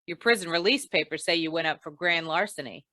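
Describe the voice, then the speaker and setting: A woman playing a tough, wry female insurance investigator in an old-time radio noir, clipped, deadpan and probing. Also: on the low side, fairly quick, no echo, studio quality. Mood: accusing, cool.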